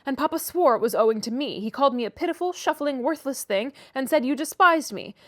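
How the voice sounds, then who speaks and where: A woman's voice: sits high, expressive, a little fast, a bit bright, no room sound, studio quality. A woman reading aloud and voicing a sickly, peevish teenage boy who whimpers and sulks. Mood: pleading. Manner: petulant, whimpering.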